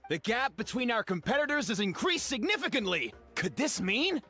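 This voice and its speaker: A high-pitched, very lively announcer calls a video game race. The delivery is theatrical and full of suspense, with a bright edge and faint music underneath. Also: female voice, normal speed. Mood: dramatic surprise. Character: excitable race announcer calling a game tournament. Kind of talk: video game sports commentary.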